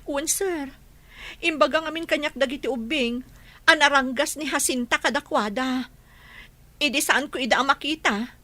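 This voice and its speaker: A distressed, insistent woman played by an actress in a radio comedy drama. Her voice is very high and piercingly bright, and she presses her complaint hard. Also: moderate pace, dry recording. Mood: urgent, indignant. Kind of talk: Ilocano radio drama acting.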